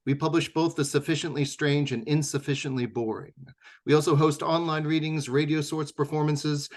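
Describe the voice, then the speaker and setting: A man with a somewhat high voice acts as host and announcer of a radio theatre show. He reads from a script in a measured, formal manner with a wry touch.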